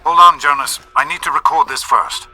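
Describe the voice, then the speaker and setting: An actor playing a gentle middle-aged father and doctor in a video game, speaking earnestly and hesitantly with regret and love. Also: on the high side, fairly quick, a little hiss or hum. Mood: hesitant, confessional. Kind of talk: restrained dramatic video game acting.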